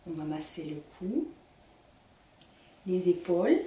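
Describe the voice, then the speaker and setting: A young woman with a Ukrainian accent leading a children's singing workshop, speaking slowly and clearly as she gives instructions. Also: fairly low pitch, big pitch swings, dark tone, slight background noise. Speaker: singing teacher. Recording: echoey room, mic at a distance.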